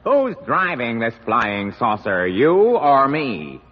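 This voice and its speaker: Wide-eyed Martian, animated swoops, vintage radio ad comedy, cavernous reverb, dull old recording. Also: male voice, medium pitch, takes it slowly. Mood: grumbling, awed. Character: bumbling, wide-eyed male Martian flying a saucer. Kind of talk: 1950s radio commercial comedy acting.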